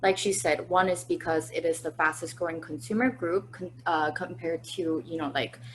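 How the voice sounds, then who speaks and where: Woman, lower pitch, polite and articulate, webinar guest speaking from home. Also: steady tone, moderate pace, rough recording. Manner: polite, articulate with occasional fillers. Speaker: influencer, webinar guest panelist. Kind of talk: conversational business webinar. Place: at home, indoors.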